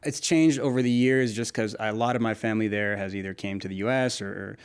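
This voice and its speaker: A man's voice: lowish voice, steady tone, a little fast, clear, resonant tone. A young man from Nashville chatting as an interview guest, laughing often and restarting his sentences in a friendly, easygoing way. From Nashville, United States. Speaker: interview guest, food creator. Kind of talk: casual conversational interview.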